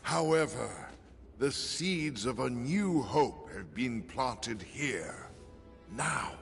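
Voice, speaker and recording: Stately male monarch, theatrical and solemn, unhurried delivery, clear game score behind. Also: medium pitch, lively intonation, heavily acted. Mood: solemn, persuasive. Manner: grave, measured, theatrical. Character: solemn, stately male ruler recounting prophecy. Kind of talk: video game cutscene acting, formal storytelling. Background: music.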